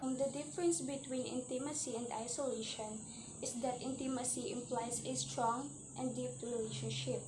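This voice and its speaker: A woman's voice: fairly high pitch, noisy background. A nineteen-year-old woman delivering a prepared explanation. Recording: echoey room with music. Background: music.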